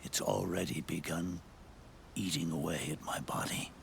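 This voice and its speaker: Old dying war legend for a game cutscene: low, heavily creaky, weary, halting and solemn. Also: male voice, fairly even intonation, a little hiss or hum. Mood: weary, grave. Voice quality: gravelly, breathy rasp. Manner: slow, solemn, halting phrases. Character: aged, dying male legendary soldier, weary and remorseful. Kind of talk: cinematic video game monologue.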